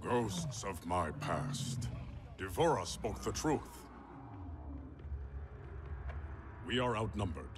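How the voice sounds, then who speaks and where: A slow, stately warrior emperor. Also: male voice, on the low side, very animated. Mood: grim. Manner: stately, formal, measured. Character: noble, battle-hardened warrior emperor, grave and proud. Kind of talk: video game cutscene acting. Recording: music behind.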